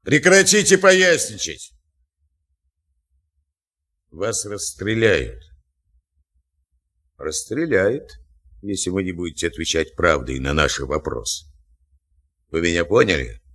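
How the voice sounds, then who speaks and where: An actor playing a calm, stern Soviet major general with dry irony. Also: male voice, low voice, exaggerated voice. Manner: calm, measured, dryly ironic. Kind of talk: war film acting.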